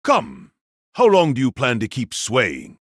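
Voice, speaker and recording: Man playing a slow, condescending, swooping-voiced warrior brother. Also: medium pitch, huge pitch swings, no echo, studio quality, voice pushed down. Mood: scornful, commanding. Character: cold, mocking elder brother, elite young male warrior. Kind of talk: audio drama acting.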